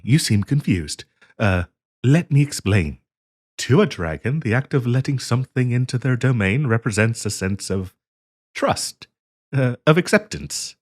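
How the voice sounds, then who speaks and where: A man voicing a courteous, earnest half-dragon diplomat in a roleplay audio, speaking politely and hesitantly to the listener. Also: mid-range voice. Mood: gentle, reassuring. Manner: hesitant, polite, halting with frequent ums and soft chuckles.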